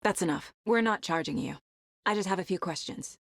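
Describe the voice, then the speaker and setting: A woman's voice: lowish voice, brisk, gravelly, no echo, clean studio sound. A woman playing a serious, determined FBI agent in a video game, often musing to herself as she investigates. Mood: serious, questioning. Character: determined, level-headed female FBI agent investigating supernatural horror. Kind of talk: dramatic video game voice lines.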